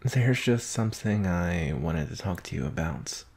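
Man as young werewolf student, shy, hesitant, gravelly fry, unhurried delivery, intimate ASMR roleplay. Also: sits low, lively intonation, a little mellow, heavily acted. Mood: nervous, hesitant. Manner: hesitant, bashful, halting, sighing.

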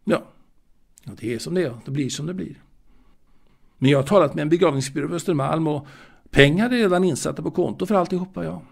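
An actor playing a lonely, melancholy ageing waiter in an intimate audio drama monologue, halting and reflective, repeating himself and pausing. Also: male voice, a little high, creaky. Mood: resigned, fussy. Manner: halting, reflective, fussy, with repetitions and pauses. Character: lonely, melancholy ageing waiter reminiscing about his late mother.

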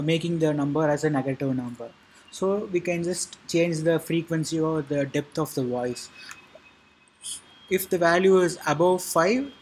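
A man narrating an informal software tutorial in a halting, repetitive way, with a husky voice. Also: a little high, rough recording. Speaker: tutorial presenter.

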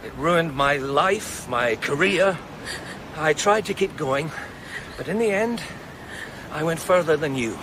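Earnest ex-policeman, high-pitched, outdoors. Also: animated. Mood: confessional, earnest. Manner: earnest, halting, persuasive. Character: disgraced former policeman, earnest, resolute, protective. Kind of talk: radio play acting. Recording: outdoor scene with clear background noise.

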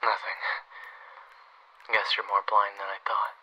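A wry assassin confronts his younger brother in an audio drama, played by a man. His voice is very sharp and forceful, with a hesitant, sardonic conversational delivery. The recording is a little lo-fi. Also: on the low side, completely flat delivery, unhurried, slightly rough, exaggerated voice. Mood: bitter, wry. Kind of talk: audio roleplay drama acting.

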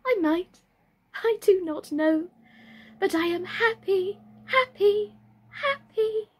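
A woman's voice: extremely high pitch, expressive, takes it slowly, pitched above the performer's own voice, exaggerated voice. A woman voicing a happy, scatterbrained girl doll from a children's storybook, chirpy and singsong, drawing out her words. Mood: happy wondering.

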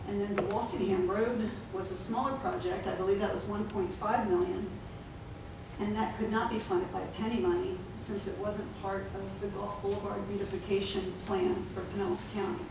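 A woman's voice: medium pitch, steady tone. Woman reading a statement in an echoey chamber. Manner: measured, reading from notes, formal. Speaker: resident giving public comment. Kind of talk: prepared statement read at a podium. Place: city commission chamber. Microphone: podium gooseneck mic. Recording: echoey chamber, mic at a distance, noisy.

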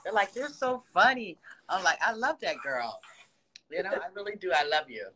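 Bright-voiced woman in her sixties, gushing. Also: lowish voice, lively intonation, moderate pace. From United States. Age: 60s. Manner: gushing, warm praise with frequent laughs. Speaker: fan on a video call. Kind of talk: casual chat. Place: office with ceiling lights. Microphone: phone camera held close.

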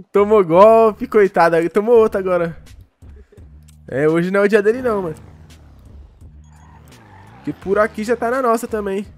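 Man, high voice, stuffy from a cold, slangy and excitable, faint music behind. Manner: excitable street slang, quick exclamations. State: congested with a cold. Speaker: roleplay streamer. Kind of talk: casual gameplay chat.

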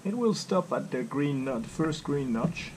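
A middle-aged man with phrasing explains a home-built cockpit calmly and step by step, talking off screen near the camera. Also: a little high, moderate pace. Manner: calm, step-by-step, non-native phrasing. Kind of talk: hands-on demonstration. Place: home workshop with a simulator cockpit. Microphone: camera mic, speaker off screen. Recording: clear background noise.

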